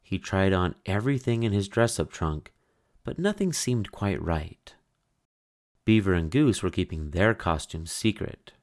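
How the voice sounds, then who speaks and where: A man with a deep voice reads a children's picture book aloud. He takes it slowly and lets his intonation rise and fall in a lively way. The delivery is expressive and storybook-like. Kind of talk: read-aloud storybook narration.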